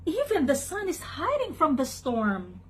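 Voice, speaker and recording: Woman as a frightened, high-pitched storybook sheep. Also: huge pitch swings, measured pace, heavily acted. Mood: fearful. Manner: anxious, bleating cries.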